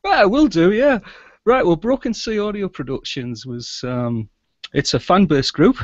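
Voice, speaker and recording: A man's voice: high-pitched, expressive, a little slow, no echo, muffled recording. A middle-aged man chatting casually as an interview guest, in a chatty manner, over a video call. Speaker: guest, audio drama producer and sound engineer. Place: small indoor room with shelves, video call.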